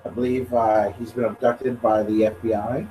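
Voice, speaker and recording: Brief: young man, easy mid-pitch voice, jokey rambler, miked from a distance with faint background noise. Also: level tone, normal speed, dark tone. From Ontario, Canada. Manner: rambling, jokey, leans heavily on "like". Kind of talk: casual podcast chat.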